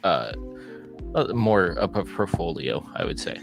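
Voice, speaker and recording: A young man co-hosting a movie podcast, talking in a relaxed, hesitant way with restarts. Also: low voice, measured pace, vocal fry, music in the background. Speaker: podcast co-host. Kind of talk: casual movie news chat.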